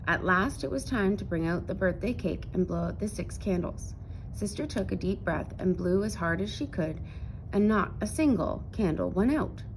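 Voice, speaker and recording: A woman narrating a children's picture book aloud in an expressive storytelling style. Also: fairly low pitch, normal speed, slight background noise, rough recording.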